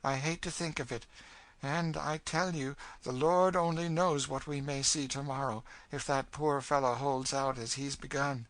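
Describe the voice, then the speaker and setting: Man as a bitter, world-weary woman, raised pitch. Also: steady tone, moderate pace, a bit bright, no echo, close to an everyday voice. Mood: ominous. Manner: bitter, fatalistic, emphatic.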